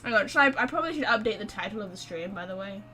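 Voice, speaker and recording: A woman's voice: medium pitch, lively intonation, rapid-fire, background noise. A woman streaming a game live, reacting casually in an informal, giggly, chatty way.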